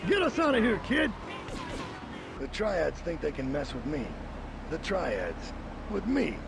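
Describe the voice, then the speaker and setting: An actor playing a hot-tempered, bossy Italian-American mafia capo in a video game. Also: male voice, fairly high pitch, big pitch swings, a little slow, noisy background, full character voice. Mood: alarmed, furious. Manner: gruff, bossy, streetwise. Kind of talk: video game cutscene acting.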